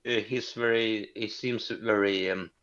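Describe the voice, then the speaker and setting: A man from Sweden whose first language is Finnish, calling in to a show, speaking haltingly and apologetically with many fillers. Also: lowish voice, steady tone, fairly bright tone, dull audio. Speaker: caller. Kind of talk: casual phone call-in.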